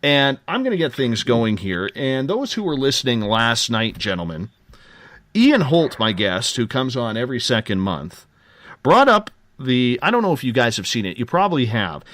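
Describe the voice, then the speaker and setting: A man's voice: mid-range voice, sing-song, normal speed, fairly bright tone, no room sound. A man hosting a live late-night radio talk show, giving upbeat, joking promotional patter. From Canada.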